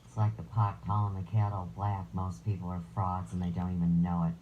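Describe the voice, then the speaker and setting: A woman with a low voice hosting a live stream, reading viewer comments aloud with sarcastic, crude jabs. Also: completely flat delivery, fairly quick, highs cut off, slight background noise.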